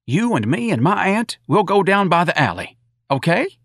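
Guy doing meek friendly little cop for an audiobook, folksy grammar, highish voice, dry sound. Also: big pitch swings, normal speed, gravelly, fairly bright tone, no echo, heavily acted. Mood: eager, friendly. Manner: folksy ungrammatical talk, mild. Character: meek, friendly little patrolman, eager to please.

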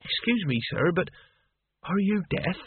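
A man's voice: lively intonation, a little slow, husky, dry recording, phone-quality sound, heavily acted. A man voicing an earnest, anxious, polite teenage boy, with a hesitant and deferential manner. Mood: nervous, polite. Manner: hesitant, higher-pitched, deferential.

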